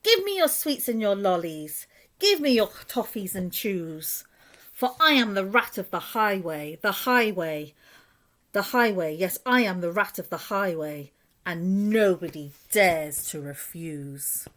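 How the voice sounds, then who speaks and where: Woman putting on a bossy, greedy rat highwayman. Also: animated, a little slow. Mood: domineering. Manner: loud commanding declamation. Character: mean greedy male rat highwayman, bullying and boastful. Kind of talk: children's storybook read aloud.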